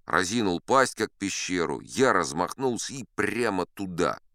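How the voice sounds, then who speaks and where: Male actor as an old braggart sea captain, smooth deep voice, dry close studio sound, chatty tall-tale teller. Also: very animated, unhurried, sharp tone, no room sound, heavily acted. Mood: boastful, confiding. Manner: chatty, confiding asides to the listener. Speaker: audiobook narrator. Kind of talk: first-person storytelling in an audio play.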